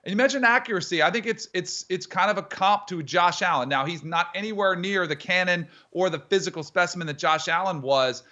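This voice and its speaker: A man giving animated football analysis on a studio sports show. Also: high-pitched, brisk, hardly any pauses, lo-fi sound. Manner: animated, comparison-heavy analysis.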